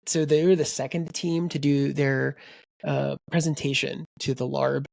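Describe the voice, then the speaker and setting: A man's voice: mid-range voice, a little slow, gravelly, dry recording. A man co-hosting a book podcast, rambling in casual chat and quoting people in voices. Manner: rambling, animated, quotes people in character voices. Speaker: podcast co-host.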